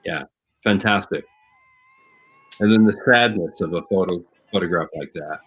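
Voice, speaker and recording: A man with a fairly low voice comments as a guest on a talk show. He builds his thoughts phrase by phrase in a measured, appreciative way, keeping it conversational. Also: a bit bright, slightly rough.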